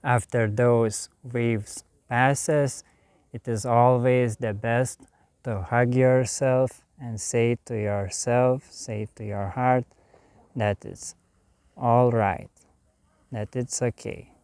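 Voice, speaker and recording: A man from Lithuania with a Lithuanian accent, giving a calm, meditative spiritual talk in a slow, soft way with long pauses. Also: medium pitch, smooth, no room sound. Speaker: spiritual teacher.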